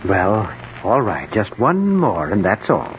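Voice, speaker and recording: An actor playing a brooding, outwardly gentle composer who craves solitude, measured and condescending in old-time radio drama style. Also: male voice, on the low side, wildly swooping pitch, heavily acted. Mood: patronizing, gentle. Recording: muffled, noisy old radio recording.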